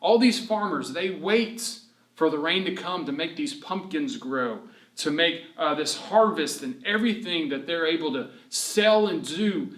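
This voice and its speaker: Children's storyteller: man, high-pitched, animated and encouraging, recorded at a distance. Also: a little slow. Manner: animated, encouraging, asks questions and answers them. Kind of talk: read-aloud story lesson for children. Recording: roomy echo, distant microphone.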